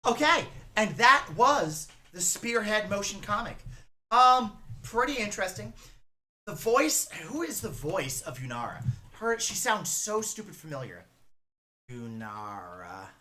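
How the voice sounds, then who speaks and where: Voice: mid-range voice, very animated, a little slow, pushed and bright, a little hiss or hum. A streamer giving casual reaction commentary, rambling and thinking aloud with a fan's enthusiasm.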